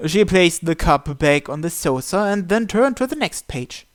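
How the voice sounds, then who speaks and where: A man's voice: high voice, sing-song, normal speed, no echo. A man reading a visual novel aloud as story narration. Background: game music.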